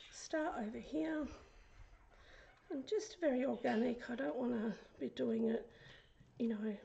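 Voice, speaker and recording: A croaky, hoarse woman talks through a craft tutorial at her desk, slipping into soft whispers as she works. Her voice sits a little high yet sounds dark and gravelly. A faint hiss lingers behind her. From Australia. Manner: chatty, often drops to a whisper while working. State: hoarse, recovering from illness. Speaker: craft vlogger. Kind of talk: casual craft tutorial narration. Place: craft desk indoors, fan running.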